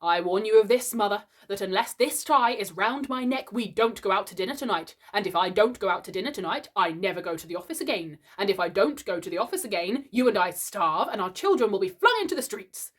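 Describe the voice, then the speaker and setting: A woman voicing a proud family father who rants with loud, pompous sarcasm. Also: medium pitch, lively intonation, normal speed, natural voice. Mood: blustering.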